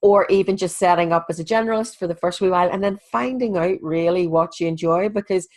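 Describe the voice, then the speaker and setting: A woman's voice: on the low side, quick pace, darkish tone. A woman hosting an interview podcast, sounding, stuffy with a cold, chatty and full of advice. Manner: chatty, friendly advice-giving. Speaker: podcast host. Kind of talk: casual interview chat.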